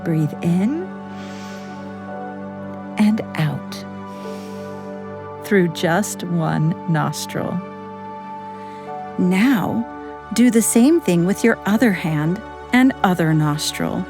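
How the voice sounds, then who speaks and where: A woman narrating a children's bedtime story in a gentle, expressive way, speaking straight to young listeners. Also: fairly low pitch, extremely slow, pauses often. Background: music.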